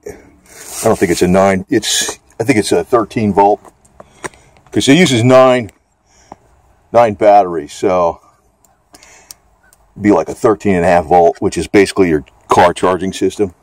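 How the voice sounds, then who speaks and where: A man's voice: low-pitched, unhurried, long pauses, a little mellow, dry recording. A man giving a casual, off-the-cuff product demonstration, talking in a rambling, hesitant, folksy way. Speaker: gadget reviewer.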